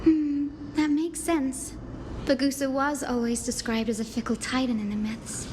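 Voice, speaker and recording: A woman playing a gentle, thoughtful young woman in a fantasy game, speaking in a soft, musing, fond manner. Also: high-pitched, little pitch movement, normal speed, noisy background, close to an everyday voice. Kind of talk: voiced game dialogue.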